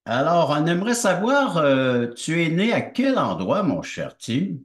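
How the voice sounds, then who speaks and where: Guy hosting a show, lively up and down voice, echoey room. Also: fairly high pitch, measured pace. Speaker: host. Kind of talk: hosting an interview.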